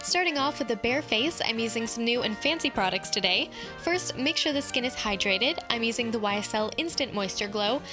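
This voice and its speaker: A woman's voice: fairly high pitch, expressive, fairly quick. A young woman in her twenties hosting a beauty tutorial, chatty and upbeat as she explains each step in a voice-over. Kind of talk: voice-over makeup tutorial narration. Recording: voice-over with clear background music.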